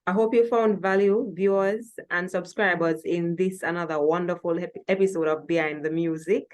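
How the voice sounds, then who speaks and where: Jamaican woman hosting, lower-pitched voice. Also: steady tone, moderate pace. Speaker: host of a music talk show. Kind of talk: conversational interview hosting.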